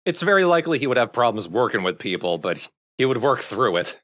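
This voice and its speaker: A man's voice: medium pitch, big pitch swings, quick pace, fairly bright tone. A man in casual conversation as a let's play game commentator.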